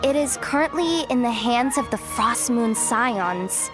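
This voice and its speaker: A woman playing a shrewd, skeptical young woman in a video game, with a measured, pointed delivery. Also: on the high side, animated, over music. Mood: reading aloud.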